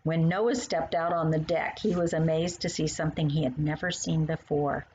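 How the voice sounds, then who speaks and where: A woman narrating a children's story as its author, in an expressive style that acts out the dialogue. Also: deep voice, normal speed, slight background noise, poor audio.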